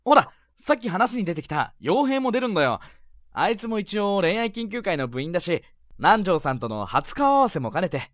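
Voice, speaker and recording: A man playing a sly, teasing high school senior in a Japanese romantic-comedy voice drama, smooth-talking and confident. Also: very animated, moderate pace, dry recording, studio quality. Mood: light, casual. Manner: smooth-talking, playful, insinuating.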